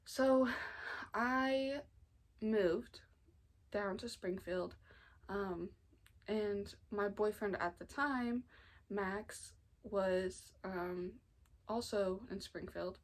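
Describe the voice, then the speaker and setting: A young American woman of about nineteen, talking informally and earnestly in a halting way, as in a personal storytime vlog. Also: medium pitch. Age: 19, nearly 20. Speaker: family vlogger. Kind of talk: personal storytime vlog, casual confessional talk.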